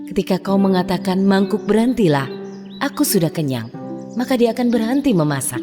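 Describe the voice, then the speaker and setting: A woman voicing a kind young fairy in a cartoon, speaking in a gentle, sing-song way as she gives instructions. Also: mid-range voice, expressive, brisk, close to an everyday voice. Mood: kindly. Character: magical young female fairy, kind and gracious. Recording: music behind, long reverb.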